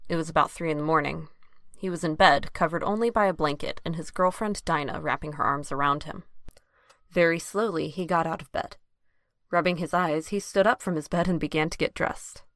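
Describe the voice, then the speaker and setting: A woman serves as narrator of an audio drama, reading scripted prose. Her voice sits a little low, recorded dry and close. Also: a little fast, a bit bright, no echo.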